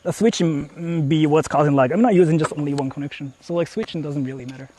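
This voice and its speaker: A man's voice: fairly high pitch, quick pace, noisy background. Rambling man streaming from his phone. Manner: rambling, many fillers, explains tech setup. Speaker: IRL streamer. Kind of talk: casual live-stream talk to chat. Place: outdoors in the countryside, on the move. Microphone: phone held while streaming.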